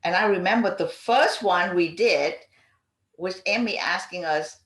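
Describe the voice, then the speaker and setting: A middle-aged woman who speaks English as a second language, recalling experiences on a panel in an animated, halting way. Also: lowish voice. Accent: non-native English. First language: not English. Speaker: panelist, community advocate. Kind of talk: panel discussion, personal recollection. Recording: echoey room sound.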